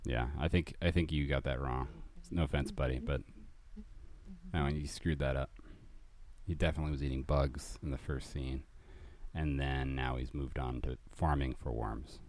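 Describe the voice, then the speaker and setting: Deep-voiced man hosting a comedy recap. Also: fairly even intonation, a little mellow. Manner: rambling, wry, quick to self-correct. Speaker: podcast host. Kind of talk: casual comedic recap chat.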